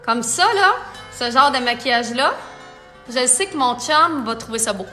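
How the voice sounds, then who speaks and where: A woman's voice: fairly high pitch, lively intonation, moderate pace, bright, forceful tone, faint music behind. A woman makeup artist with a Quebec French accent, chatting playfully with viewers during a live makeup tutorial. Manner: chatty, playful, reads viewer comments aloud.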